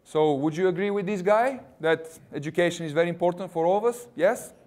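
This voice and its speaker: Man, 30s, Russian accent, non-native English, jokey recruiter pitching to students, slightly high voice. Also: a little fast, a little mellow. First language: not English. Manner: jokey, chatty, quizzes the audience. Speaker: university recruiter. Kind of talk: presentation to students.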